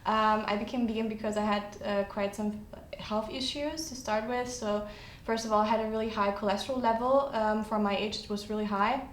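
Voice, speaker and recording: A woman's voice: fairly even intonation, fairly quick, hardly any pauses. A woman in her twenties from Austria, talking casually as a podcast guest. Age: 20s. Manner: talkative, agreeing often. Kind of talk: casual chat. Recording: echoey room, faint rumble.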